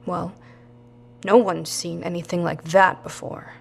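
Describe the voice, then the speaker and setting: A woman acting in an audio drama as a grim, knowing survivor with a creaky voice. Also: lowish voice, flat delivery, unhurried, full character voice. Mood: grim, subdued. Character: world-weary female survivor, grim and knowing.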